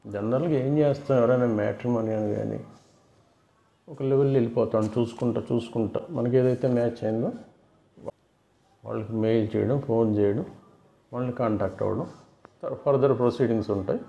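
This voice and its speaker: A man's voice: medium pitch, steady tone, a little fast, creaky, long pauses, mellow. A man explaining things casually to viewers as a video host, often repeating and rephrasing his points. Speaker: video host giving fraud awareness advice. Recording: roomy, dull lo-fi sound.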